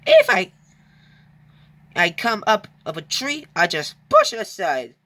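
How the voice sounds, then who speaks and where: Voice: mid-range voice, wildly swooping pitch, unhurried, fairly bright tone, pitched above the performer's own voice, full character voice. A reader voicing a vain, boastful male steam engine in a children's storybook, with pompous, indignant exclaiming. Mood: scornful.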